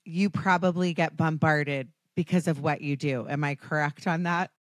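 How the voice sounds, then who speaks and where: A chatty American woman hosts her podcast with a hoarse, raspy voice that sits quite low. She talks casually and pokes fun at herself, filling gaps with ums. The sound is dry and close. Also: fairly even intonation, moderate pace, no echo. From Washington, D.C. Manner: chatty, self-deprecating, frequent ums. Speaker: podcast host. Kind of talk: casual chat.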